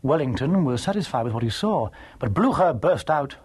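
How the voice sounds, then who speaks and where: A man giving scripted, dramatic historical narration in a measured, emphatic manner with a husky voice. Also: mid-range voice, animated, a little mellow.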